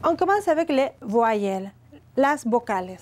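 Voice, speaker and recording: Slow-talking woman teacher with Mexican accent. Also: a little high, sing-song, faint music behind. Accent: Mexican Spanish accent in French. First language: Spanish. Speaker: language teacher. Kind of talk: televised beginner Spanish lesson. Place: indoor studio set with chalkboard. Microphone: lapel.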